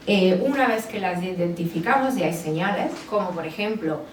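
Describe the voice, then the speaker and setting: A woman's voice: fairly low pitch, animated, moderate pace. A woman psychologist with an Italian accent, speaking with many hesitations and fillers at a book presentation. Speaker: psychologist and author. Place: bookstore event space. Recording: roomy, distant mic with background noise.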